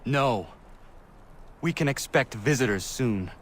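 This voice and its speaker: A man playing a solemn, earnest young leader in a video game, speaking in a stiff, formal, measured way. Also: medium pitch, animated, a little hiss or hum. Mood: grave, resolute. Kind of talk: video game dramatic acting.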